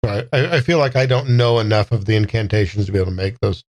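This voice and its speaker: A man playing a tabletop game, talking through his character's choices in a halting, careful way. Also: on the low side, fairly even intonation, darkish tone. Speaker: player in a tabletop game podcast. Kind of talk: thoughtful improvised game-table talk.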